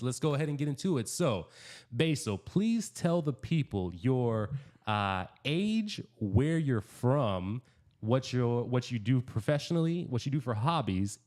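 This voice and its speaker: A man's voice: lowish voice, a little mellow. A man hosting a live podcast interview, laid-back and chatty, laughing often. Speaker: podcast host.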